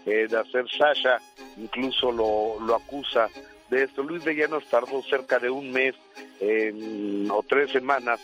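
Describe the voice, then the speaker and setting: A man's voice: medium pitch, unhurried, fairly bright tone, muffled recording, faint music behind. A man giving entertainment news on a radio show, talking casually and hesitantly with many fillers.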